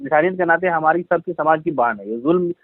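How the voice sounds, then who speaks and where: A man's voice: on the high side, quick pace, dry recording. A man with a Bihari accent speaks deferentially and apologetically, pleading and explaining himself over the phone. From Bihar, India. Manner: deferential, pleading, repeatedly saying brother. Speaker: caller being confronted over a social media comment. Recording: tinny, lo-fi recorded phone call.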